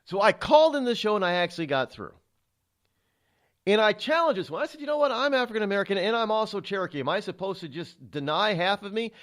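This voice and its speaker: A man's voice: high voice, expressive, fast talker, fairly bright tone. A middle-aged man hosting a talk radio show, delivering an indignant, rhetorical monologue with frequent stumbles and fillers.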